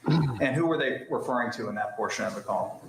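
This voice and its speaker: A man's voice: mid-range voice, animated, a little fast. A man questioning a witness as a lawyer in a formal courtroom style. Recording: very echoey courtroom, faint noise.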